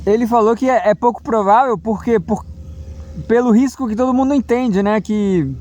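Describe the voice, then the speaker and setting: High-voiced hesitant man. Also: moderate pace, warm tone, lo-fi sound, a little hiss or hum. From Brazil. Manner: hesitant, many fillers and restarts. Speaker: political commentator. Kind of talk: rambling solo monologue.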